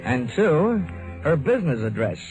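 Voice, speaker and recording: An American man playing a cool, flirtatious hardboiled investigator with wry, deadpan delivery in a 1950s radio drama. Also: fairly high pitch, unhurried, over music, poor audio. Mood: wry narrating. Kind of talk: 1950s radio detective drama acting, first-person narration.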